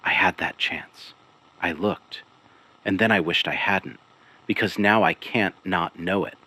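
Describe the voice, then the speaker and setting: A man playing a weary, haunted investigative broadcaster, narrating a scripted audio drama gravely and deliberately, in clipped phrases with dramatic pauses. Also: low voice, sharp tone, heavily acted, rough recording. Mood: somber, uneasy. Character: weary, haunted male investigative broadcaster piecing together a conspiracy. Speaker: broadcast host and narrator of a fictional missing person investigation series.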